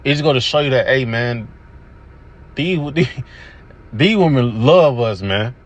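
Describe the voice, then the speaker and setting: A man's voice: mid-range voice, expressive, measured pace, lo-fi sound. A man giving casual religious commentary over video clips, laid-back and repetitive, with many fillers. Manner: laid-back, repetitive with "you know what I'm saying".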